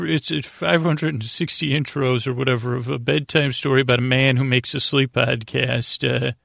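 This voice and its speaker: A man hosting a bedtime podcast in a gravelly voice, rambling haltingly and repeating words as he drifts into goofy, gently silly tangents. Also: medium pitch, no room sound, clean studio sound. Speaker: host of a bedtime sleep podcast. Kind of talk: rambling, meandering bedtime monologue.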